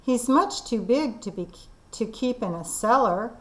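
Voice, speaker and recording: A woman's voice: fairly high pitch, expressive, a little slow, rough recording. A woman voicing a helpful grown-up policeman in a storybook, matter-of-fact in tone.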